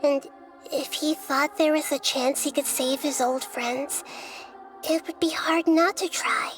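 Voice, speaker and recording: A high, girlish voice for a wise young sage in a video game. She speaks gently and with composure, in measured, articulate, scholarly phrases, over soft background music. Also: fairly even intonation. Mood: gently pondering. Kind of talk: scripted video game dialogue.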